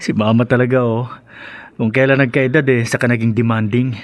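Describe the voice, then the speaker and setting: A man's voice: medium pitch, flat delivery, brisk, no room sound, natural voice. An actor as a hesitant younger man, close and dry. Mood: relaxed, teasing. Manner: hesitant, stammering. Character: evasive, placating husband and good-natured younger brother. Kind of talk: Filipino radio drama acting.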